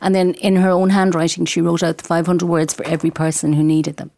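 A woman with a lowish voice talks about her book, thoughtful and earnest, pausing now and then to find her words. The sound is dry and close. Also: flat delivery, warm tone, dry recording. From Ireland. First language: Irish. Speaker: author. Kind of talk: explaining her book in conversation.

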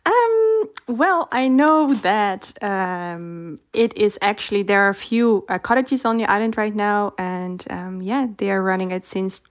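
A woman's voice: medium pitch, lively intonation, unhurried, hardly any pauses, no room sound, studio quality. A woman from the Netherlands with a Dutch accent, speaking as a radio guest and searching for her words in English. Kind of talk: interview.

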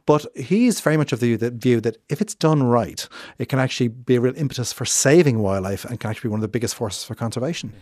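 A man's voice: mid-range voice, expressive, quick pace, hardly any pauses, a little mellow, dry recording. A man speaking conversationally as an interviewer, introducing guests and asking questions. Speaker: podcast interviewer.

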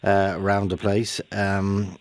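An Irish man hosting a radio phone-in, chatty and quick with questions for callers, with jokey asides. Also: deep voice, monotone, no echo. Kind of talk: call-in radio chat.

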